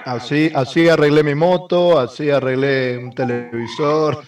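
Joking host, off-mic. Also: male voice, a little high, steady tone, unhurried. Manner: loose, hesitant questions, joking. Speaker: host of the interview channel. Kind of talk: casual interview hosting. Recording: voice distant from the mic.

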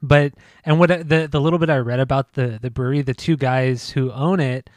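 A man's voice: medium pitch, steady tone, a little fast, no room sound. A man co-hosting a podcast, chatting casually and swearing while joking with his friend. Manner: laid-back, swearing, joking banter. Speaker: podcast co-host. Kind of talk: casual chat.

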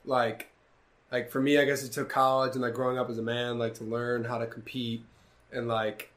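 A young man hosting a podcast in casual chat, animated and swearing freely, with many "like"s. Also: mid-range voice, normal speed. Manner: animated storytelling, swearing, frequent "like". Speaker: podcast host.

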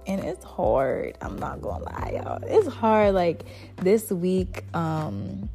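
A soft-spoken young woman hosting her podcast, chatting casually and rambling with lots of "like" fillers. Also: lowish voice, big pitch swings, slow, vocal fry, soft background music. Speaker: podcast host. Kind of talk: casual solo chat.

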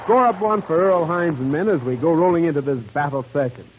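A man's voice: a little high, a little fast. An American man hosting a 1940s radio music show, announcing in showy, jive-talking patter. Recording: old, noisy, narrow-band radio broadcast.

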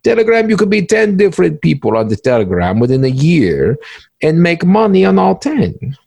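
A man voicing a smug, cynical veteran cybercriminal in an interview skit, with halting, repetitive, stilted phrasing. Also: a little high, sing-song, creaky, a little mellow, dry recording, voice pushed up, exaggerated voice. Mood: scornful, emphatic. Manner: halting, repetitive, stilted grammar with drawn-out hesitations.

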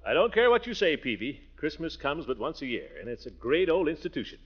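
A man's voice: a little high, very animated, a little fast, husky. Blustering, swooping radio comedy man. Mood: hearty, boastful. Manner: theatrical, hearty, boastful, swooping delivery with chuckles. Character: jovial, blustering, self-important middle-aged man fond of gossip. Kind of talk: 1940s radio comedy acting. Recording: muffled old radio broadcast.

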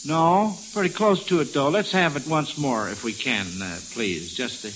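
A man with a fairly high voice and an American accent hosts a vintage radio quiz. He is folksy and encouraging, often hesitating as he goes. Clear hiss covers the old broadcast recording. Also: animated, moderate pace, a bit bright, low-quality recording. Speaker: quiz show host.

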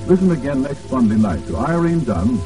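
An American man announcing on 1940s radio, reading a script in a formal, polished way with deliberate emphasis. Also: medium pitch, sing-song. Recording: old 1940s radio broadcast, distant, music.

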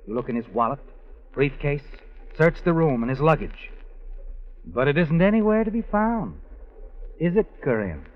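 An actor playing a knowing, ominous narrator who tells an old radio mystery to its heroine. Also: male voice, a little high, big pitch swings, moderate pace. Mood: knowing, suspenseful. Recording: dull vintage radio recording. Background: dramatic music.

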